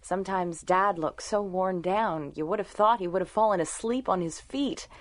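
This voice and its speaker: An actress playing a sweet, caring young girlfriend in a 1930s radio drama, chatting in a folksy, gently teasing way. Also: lowish voice, normal speed, no echo, natural voice. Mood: tender.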